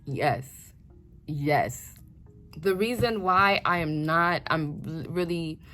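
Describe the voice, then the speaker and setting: A woman's voice: deep voice, fairly bright tone. A young woman, born in Nigeria and raised in the United States, chatting casually as she answers viewer questions about her hair, with lots of restarts and fillers. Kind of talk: casual Q&A vlog.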